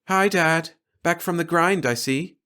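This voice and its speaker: A man's voice: on the high side, unhurried, pushed and bright, clean tone, voice pushed up. A man voicing a spoiled, theatrical young woman of nearly twenty in a storybook reading. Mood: breezy. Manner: breezy, theatrical.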